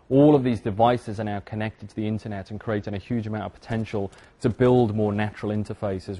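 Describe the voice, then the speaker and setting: A man's voice: on the low side, dark tone. British man giving a casual talk. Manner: conversational, many fillers and restarts. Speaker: conference speaker. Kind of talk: presentation talk.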